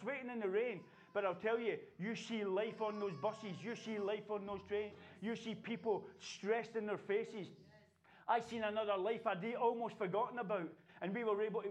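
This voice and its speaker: A man preaching a sermon, building from quiet earnestness to loud, fervent exhortation. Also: high voice, lo-fi sound. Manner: impassioned preaching, rising to shouts. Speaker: pastor. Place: church.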